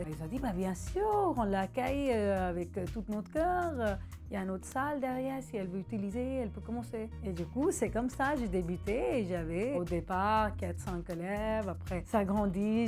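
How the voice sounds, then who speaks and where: Pakistani-accented woman over music. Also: medium pitch. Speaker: dance teacher and dancer. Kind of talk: personal interview, conversational storytelling. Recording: music playing under the voice.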